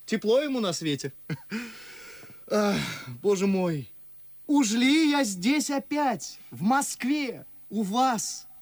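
A man's voice: high voice, expressive, takes it slowly, no echo, exaggerated voice. An actor playing an ardent, witty, mocking young man in love in a verse radio play, with theatrical delivery. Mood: fond, nostalgic. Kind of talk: classic verse stage acting for radio. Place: radio studio.

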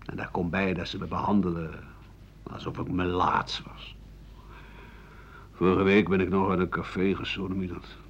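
A man playing a weary, embittered writer of 67 in a radio play, grumbling in halting phrases that trail into breathy murmurs. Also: sits low, flat delivery. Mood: bitter. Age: older. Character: embittered, weary 67-year-old French writer and doctor, pessimistic. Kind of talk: radio play monologue as interview answers. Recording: dull, hissy 1980 radio recording.

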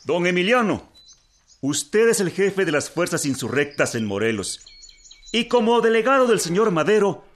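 Ceremonious mexican colonel, high voice that swings a lot, radio play. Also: male voice, a bit bright. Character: formal male revolutionary envoy, a colonel chairing talks. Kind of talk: radio drama acting.

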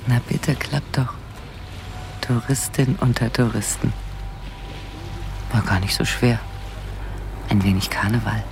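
Wary female lead, creaky and whispery, slow intimate inner monologue for radio crime drama. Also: noisy background, full character voice. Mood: wary, self-mocking. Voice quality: low, creaky, often whispery. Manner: self-mocking inner monologue. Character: wary woman posing as harmless tourist.

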